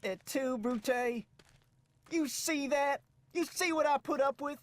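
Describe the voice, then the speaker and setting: A woman's voice: high-pitched, slow, no echo. A woman playing a huffy, self-important ranch cook in a video game, speaking in a theatrical, indignant and pompous way.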